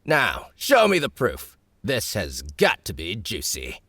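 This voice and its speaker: Man voicing high excitable guard, gloating, lively swings, dry close audio. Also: slow pace, slightly rough, no room sound, heavily acted. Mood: eager. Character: gleeful gossipy male guard, a soldier.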